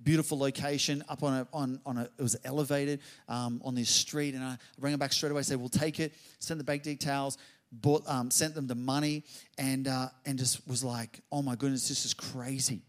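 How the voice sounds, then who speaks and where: A middle-aged man preaching a sermon, telling a story and building to loud exclamations. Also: mid-range voice, fairly even intonation, moderate pace. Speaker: pastor.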